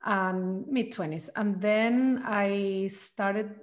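A woman in a middle-pitched voice. She answers questions thoughtfully, often stopping and restarting as she looks for the right words. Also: steady tone, extremely slow. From Chile. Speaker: guest, trauma practitioner. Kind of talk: casual interview answers.

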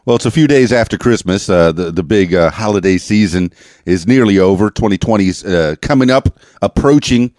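A man's voice: lowish voice, normal speed, a little mellow, no echo, clean studio sound. A man hosting a podcast, interviewing a caller in a laid-back, joking way with lots of fillers. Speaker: podcast host. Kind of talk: casual interview.

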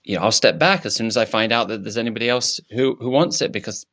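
A man's voice: fairly low pitch, fast talker, fairly bright tone, close to an everyday voice. A man playing a shy, stammering, evasive politician. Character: shy, opinionless male politician. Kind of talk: improvised comedy character.

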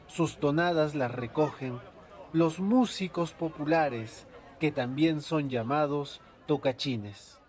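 Male storyteller, fairly high voice, slow measured delivery of folk narration. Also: crowd noise. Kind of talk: scripted folk tale narration.

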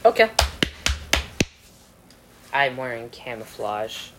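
A man's voice: medium pitch, sing-song, takes it slowly, background noise. A young man chatting casually while playing a game, rambling, trailing off mid-sentence and laughing often. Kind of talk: casual chat over a game.

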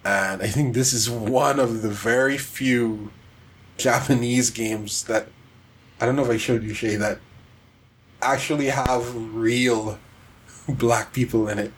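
A man's voice: mid-range voice, steady tone, takes it slowly, slightly rough, rough recording. A man co-hosting a podcast, talking casually about video games in a conversational way. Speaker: podcast co-host. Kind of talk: casual video game discussion.

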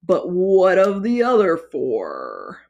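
A woman voicing a squat, nervous toad-like male creature who croaks and fawns over his master. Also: on the low side, wildly swooping pitch, takes it slowly, a little mellow, muffled recording, exaggerated voice. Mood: nervous groveling.

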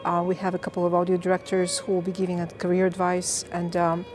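A woman's voice: lowish voice, quick pace, over music. A woman, a game composer telling her story with passion in an interview. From Bulgaria. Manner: passionate, lively storyteller with fillers. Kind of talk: casual interview answers.

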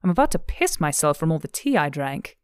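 A woman's voice: lowish voice, normal speed, no echo, clean studio sound, close to an everyday voice. A woman voicing a blunt, stern young female law officer in an audiobook. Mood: exasperated.

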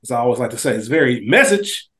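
A man's voice: mid-range voice, sing-song, fast, a bit bright. A man co-hosting a casual TV recap podcast, speaking in an informal, opinionated way. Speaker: podcast co-host.

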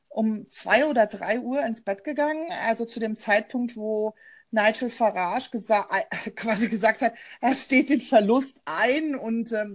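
A German woman co-hosts a casual podcast over a remote call, speaking in a slightly high voice. She talks in a thoughtful, personal way and often pauses on fillers. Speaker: podcast co-host, journalist.

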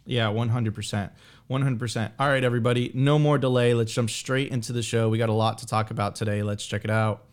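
A man's voice: medium pitch, steady tone, fast talker. A man hosting a podcast, eager and emphatic, who repeats phrases to urge viewers on. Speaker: podcast host. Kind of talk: casual podcast chat.

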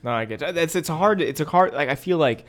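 A young American man with a very lively, swooping intonation chats as a podcast co-host. He is casual and jokey, quick to tease and to jump in with short reactions. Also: fairly high pitch, slight background noise. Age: young adult. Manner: joking, teasing, interjecting. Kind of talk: casual chat.

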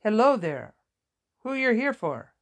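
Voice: medium pitch, sing-song, a little slow, voice pushed up. A woman voicing a short, weary Vietnamese nurse in an audiobook, sorrowful, apologetic and plain-spoken, with a Vietnamese accent. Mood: friendly. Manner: folksy, apologetic, emphatic. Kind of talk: audiobook character dialogue.

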